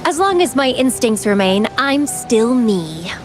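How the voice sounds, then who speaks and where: A woman's voice: a little high, big pitch swings, unhurried, music in the background. An actress playing a playful, carefree, enigmatic young woman in a video game, with a teasing, languid, theatrical delivery. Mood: self-assured.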